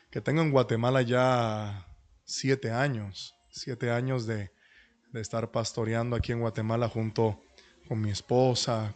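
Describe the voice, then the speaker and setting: A middle-aged man, speaking as a pastor in a humble, courteous and deliberate way to an online class. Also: mid-range voice. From Dominican Republic and New York. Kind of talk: introductory talk at an online church leadership class. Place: online video call.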